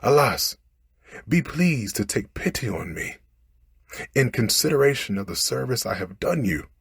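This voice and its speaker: A man's voice: mid-range voice, big pitch swings, measured pace, vocal fry, breathy edge, no echo, clean studio sound, voice pushed up, exaggerated voice. A man voicing a humble fisherman from a storybook, imploring in formal, old-fashioned phrases. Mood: distressed, reproachful. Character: humble, pleading male fisherman, clever under threat. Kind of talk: storybook character voice.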